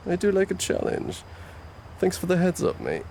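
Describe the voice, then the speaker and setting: An actor playing a wry, easygoing, protective supernatural detective who teases casually in an audio roleplay. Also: male voice, high voice, wildly swooping pitch, moderate pace, gravelly, mellow, a little hiss or hum, full character voice. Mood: teasing, easygoing. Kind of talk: ASMR audio roleplay acting.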